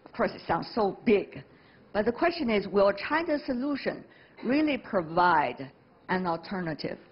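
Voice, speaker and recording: Female TV debate host, husky tone, brisk and probing; muffled broadcast sound. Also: mid-range voice, very animated. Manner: brisk, probing, quick to prompt guests. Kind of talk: moderating a televised panel. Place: TV studio with audience.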